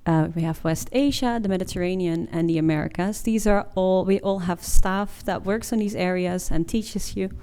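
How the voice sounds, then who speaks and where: Mellow, lowish-voiced young woman presenting. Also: moderate pace, hardly any pauses, clear, resonant tone. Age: 20s. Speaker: master's student presenter. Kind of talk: informative presentation to an audience.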